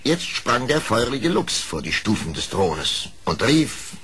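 A man narrating a scripted fairy tale in an expressive, measured storytelling manner. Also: medium pitch.

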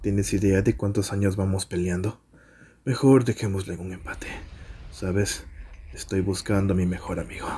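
A man's voice: low voice, fairly even intonation, normal speed, husky. A man with a Latin American Spanish accent, in an anime fan dub, playing a brash, stubborn, weary warrior. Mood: weary, wistful. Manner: brooding muttering that erupts into strained shouts.

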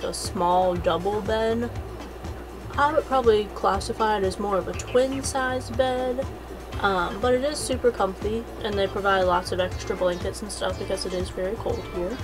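Woman, thirties, travel vlogger, casual talk, mid pitch, music in background. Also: normal speed. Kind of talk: casual chat to camera. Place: inside a car.

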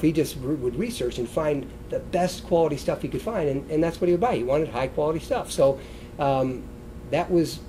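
Congested, mellow middle-aged American man. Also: on the high side, a little hiss or hum, low-quality recording. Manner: conversational, loosely structured. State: congested, sniffly. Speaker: chiropractor giving a wellness talk. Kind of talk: informal seated lecture. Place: indoor stage beside a wooden lectern, seated.

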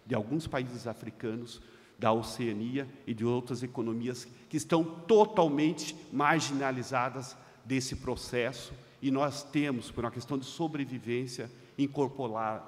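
Brazilian man giving a formal seminar speech. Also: medium pitch. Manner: emphatic, rising loud on key phrases, slowing to stress points. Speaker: seminar speaker. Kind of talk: formal conference speech read from notes. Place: large conference hall, seated at a panel table. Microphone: desk microphone on a stand. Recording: long hall reverb.

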